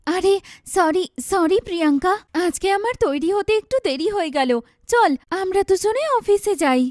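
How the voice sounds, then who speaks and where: A woman voicing a kind, self-effacing young sister in a cartoon, speaking in a gentle, earnest way. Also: extremely high pitch, expressive, normal speed, dry recording, clean studio sound. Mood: apologetic. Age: young adult. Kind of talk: cartoon dialogue.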